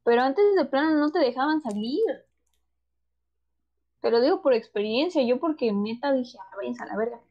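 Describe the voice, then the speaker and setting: A young woman with a northern Mexican accent talks on a stream in a candid, slangy, laid-back way. Her voice sits a little high, and the audio sounds slightly dull. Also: animated, normal speed. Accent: northern Mexican Spanish. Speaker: podcast co-host. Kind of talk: casual chat.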